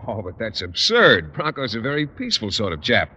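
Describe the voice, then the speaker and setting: Earnest, quick-talking mild teacher. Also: male voice, fairly low pitch, huge pitch swings, sharp tone, husky, full character voice. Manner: earnest, hesitant, polite. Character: earnest, mild, naive male high school teacher. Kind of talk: 1950s radio situation comedy acting. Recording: dull old radio recording.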